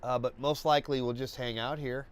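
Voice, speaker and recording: A man hosting a live painting webcast, congested and stuffy, talking in a slow, rambling way with many restarts and fillers. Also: medium pitch, fairly even intonation, smooth. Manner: halting, many restarts and fillers, laid-back. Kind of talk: rambling live-stream chat.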